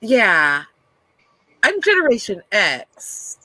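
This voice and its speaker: A woman's voice: medium pitch, huge pitch swings, extremely slow, bright, forceful tone, no echo, low-quality recording. A woman in her fifties, chatting as a guest on a live stream in a bubbly, self-deprecating way. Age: 50s. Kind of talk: casual chat on a live stream.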